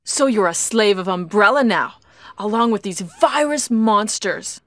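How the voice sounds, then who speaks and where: An actress playing a brave young heroine in a video game, earnest and deliberate, with stilted delivery. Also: female voice, medium pitch, animated, a bit bright, no room sound. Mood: accusing. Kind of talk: video game cutscene acting.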